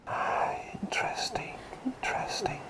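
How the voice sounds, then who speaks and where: A man playing a gentle, fussy, attentive plague doctor in an ASMR roleplay, murmuring in a breathy whisper and musing over repeated words. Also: natural voice. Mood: quietly pleased. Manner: unhurried, soothing, musing, repeats words softly.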